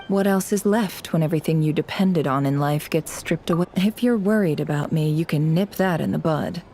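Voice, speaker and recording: A woman's voice: fairly low pitch, warm tone, a little hiss or hum. A woman voicing a cool, skeptical young woman in a video game, speaking in a dry, reflective way. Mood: wry, bitter.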